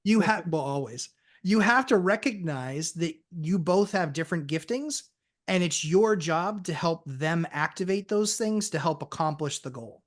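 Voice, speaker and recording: A married man and real estate investor, talking earnestly as a podcast guest and telling his story in quick bursts. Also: a little high, animated. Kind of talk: casual podcast chat.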